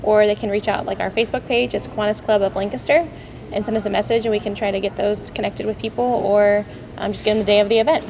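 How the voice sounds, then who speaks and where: A woman appearing as a guest on a local TV interview, upbeat and quick to chime in. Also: mid-range voice, fairly even intonation, darkish tone. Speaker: guest, club member. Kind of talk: casual interview talk. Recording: noisy recording.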